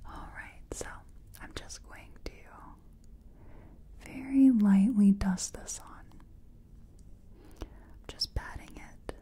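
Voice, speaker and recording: A woman performs a gentle, hesitant makeup artist for a soft-spoken ASMR roleplay. Her voice is husky with breath and mellow, often near a whisper. She takes her time, murmuring uncertainly to herself. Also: medium pitch, takes it slowly, pauses often. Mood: focused, unsure.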